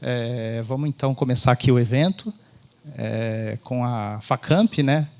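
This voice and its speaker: A Brazilian man hosts the opening of a conference. He speaks informally to those gathered, welcoming them as one of the organizers, in a mid-pitched voice. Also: measured pace, a little mellow.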